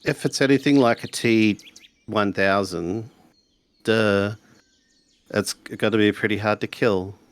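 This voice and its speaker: A skeptical, laid-back schoolboy, played by a man in a tabletop mystery game. The voice is fairly low, with a dry and hesitant delivery. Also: steady tone, slow pace, long pauses, clean tone, dry recording. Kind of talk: improvised in-character tabletop roleplay.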